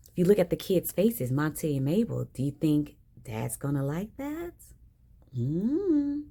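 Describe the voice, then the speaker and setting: A woman reading a children's picture book aloud in an expressive style with dramatic emphasis. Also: low voice, moderate pace. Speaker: storybook reader. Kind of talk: animated children's read-aloud.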